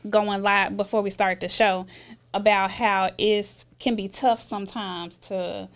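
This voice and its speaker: A woman with a medium-pitched voice hosts a casual talk. She puts her questions conversationally and takes her time, speaking slowly. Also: steady tone. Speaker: interview host.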